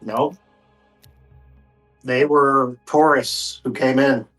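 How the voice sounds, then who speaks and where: Male game master, medium pitch, conversational tabletop talk over light background music. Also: fairly even intonation, very slow, a bit bright. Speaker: game master of a tabletop horror role-playing game.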